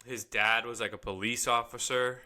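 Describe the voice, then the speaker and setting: A man's voice: mid-range voice, fairly even intonation, normal speed, pushed and bright. A young man co-hosting a podcast, easygoing and agreeable in casual chat. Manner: easygoing, agreeable, laughs along. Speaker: podcast co-host.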